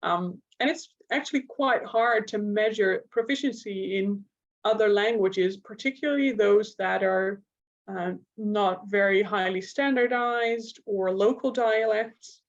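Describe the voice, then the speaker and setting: A woman's voice: mid-range voice, unhurried. A woman from the Netherlands, a native Dutch speaker with a Dutch accent, giving a semi-spontaneous academic talk as a clinician, explaining with examples. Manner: explanatory, illustrates points with examples. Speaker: clinical neuropsychologist giving a lecture. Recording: dull, lo-fi audio.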